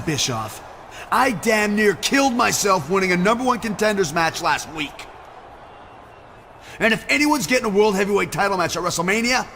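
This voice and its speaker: An actor playing a cocky, aggrieved wrestler with a sarcastic, indignant manner in a video game cutscene. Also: male voice, high-pitched, vocal fry, bright, forceful tone, a little hiss or hum, heavily acted.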